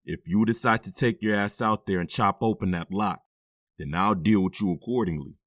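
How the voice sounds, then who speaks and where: A man acting out a deep-voiced middle-aged father in an audio drama, firm and weary, given to heavy sighs. His low voice sits close and dry with no room around it. He scolds and comforts in the same steady way. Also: normal speed, no echo. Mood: stern. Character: stern but loving middle-aged stepfather.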